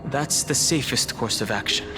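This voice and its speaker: An actor playing a cautious, level-headed young adventurer in a video game, serious and restrained in delivery. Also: male voice, mid-range voice, moderate pace, lightly acted. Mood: pensive, decisive. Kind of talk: scripted video game voice acting.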